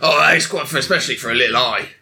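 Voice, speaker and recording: A man's voice: mid-range voice, vocal fry, bright, forceful tone, a little hiss or hum. A man in his thirties joking in a casual chat, playful and absurd. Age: 30s. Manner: playful, absurd, quick jokes.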